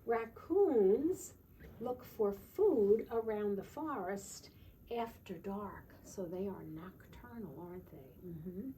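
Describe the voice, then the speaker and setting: A woman teacher leading storytime for young children, gently explaining and often checking in with little questions. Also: mid-range voice, animated, slow. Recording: distant mic with faint background noise.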